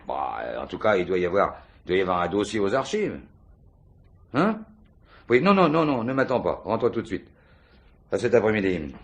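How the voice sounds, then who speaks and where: A deep-voiced, crafty police commissioner. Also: male voice, sing-song, moderate pace, pauses often, breathy edge, muffled recording, exaggerated voice. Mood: brisk, businesslike. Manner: hesitant, muttering, repeating himself. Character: dogged, crafty, falsely casual male police commissioner. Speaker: actor in a radio mystery drama. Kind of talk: French radio play acting.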